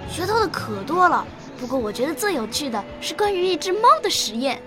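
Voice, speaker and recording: A woman acting a bright, eager, bookish nine-year-old girl in a radio drama. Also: high voice, very animated, a little fast, music in the background.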